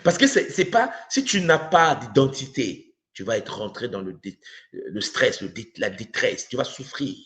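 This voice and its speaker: A man with a Congolese accent teaches spiritual ideas to an online audience. His pitch moves widely and expressively as he repeats key phrases and puts questions to his listeners in an impassioned way. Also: big pitch swings, moderate pace, a bit bright. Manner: rhetorical questions, acts out imagined dialogue, repeats for emphasis.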